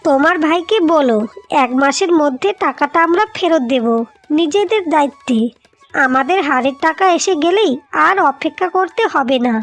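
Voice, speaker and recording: Woman playing a very high-pitched, determined housewife. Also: lively intonation, moderate pace, faint music behind. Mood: resolved. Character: determined, practical young Bengali wives plotting to save home. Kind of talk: scripted cartoon dialogue.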